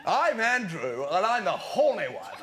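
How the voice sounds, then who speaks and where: High, boastful dim prince. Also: male voice, huge pitch swings, unhurried, very noisy, full character voice. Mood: smug. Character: boastfully manly, dim, straight-talking British prince. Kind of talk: comic royal parody sketch.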